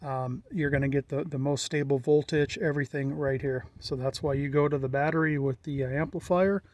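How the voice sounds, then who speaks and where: A man's voice: a little high, fairly even intonation, a little fast, darkish tone, dry recording. A middle-aged American man giving a casual, unscripted walkthrough in a plain-spoken, matter-of-fact way. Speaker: hobbyist presenter.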